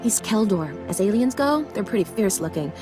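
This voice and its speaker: An actress playing a starstruck, chatty young apprentice in a video game, informal and wide-eyed. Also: female voice, mid-range voice, expressive, brisk, natural voice. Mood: excited wonder. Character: eager, chatty, starstruck young Padawan girl. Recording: loud music behind.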